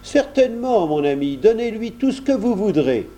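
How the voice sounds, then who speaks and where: A man's voice: high voice, very animated, normal speed, warm tone, pitched above the performer's own voice. A man voicing the gracious, generous master of a wealthy house, genteel and obliging, in a storybook reading. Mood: benevolent.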